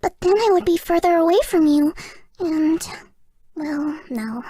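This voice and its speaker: Very high, girlish, shy fox-spirit girl. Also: unhurried, warm tone, dry recording, clean studio sound. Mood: shy, playful. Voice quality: girlish, cutesy. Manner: bashful, earnest, playful. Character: shy, sweet, excitable young female kitsune fox spirit. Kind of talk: video game character voice lines.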